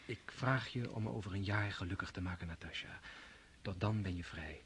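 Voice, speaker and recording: A tender noble lover. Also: male voice, low-pitched, a little fast, a little hiss or hum. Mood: earnest. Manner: tender, gentle, earnest pleading. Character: noble young officer, tender and earnest lover. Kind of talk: radio drama acting.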